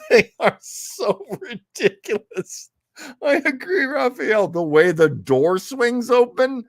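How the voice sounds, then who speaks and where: A podcast host with very lively pitch and an unhurried pace, recorded close and dry. The delivery is casual, effusive and playful, with a theatrical streak. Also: no room sound. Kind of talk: casual livestream chat.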